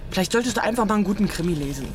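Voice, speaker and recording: A woman's voice: medium pitch, animated, rapid-fire, natural voice. An actress playing a teasing woman in a conversational radio drama scene. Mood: happy, teasing. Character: teasing, animated woman looking forward to holiday.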